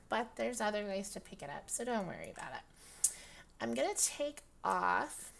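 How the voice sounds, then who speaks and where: A young woman with a dry, raspy voice, chatting casually through a product review with lots of ums and restarts. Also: mid-range voice, fairly bright tone. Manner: rambling, many ums, laughs often. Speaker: virtual-world fashion vlogger.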